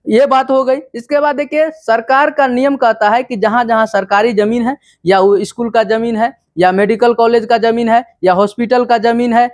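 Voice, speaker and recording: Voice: mid-range voice, fairly even intonation, normal speed, hardly any pauses, no room sound, clean studio sound. A presenter with a Bihari accent explains news in an informal, didactic way, reading out passages and then spelling them out directly to the listener. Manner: didactic, repeatedly addressing the listener.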